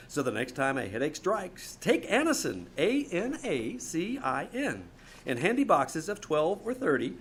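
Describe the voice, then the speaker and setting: An earnest old-time radio announcer, played by a man with a slightly high voice. The scripted 1950s pitchman delivery comes over faint background noise. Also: fairly bright tone.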